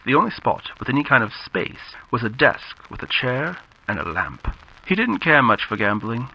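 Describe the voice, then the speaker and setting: A man narrating a scripted horror story in the style of classic radio drama, with measured, dramatic delivery. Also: mid-range voice, big pitch swings, vocal fry, husky. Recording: muffled lo-fi audio with background noise.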